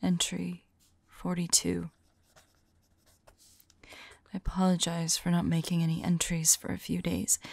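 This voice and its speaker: A woman playing an anguished, lovelorn mage in an ASMR audio roleplay, speaking her journal entries in a soft, breathy, halting and mournful voice. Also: fairly low pitch, no room sound, heavily acted. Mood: weary, pained. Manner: hushed, halting, mournful, intimate. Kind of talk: slow dramatic monologue, ASMR audio roleplay.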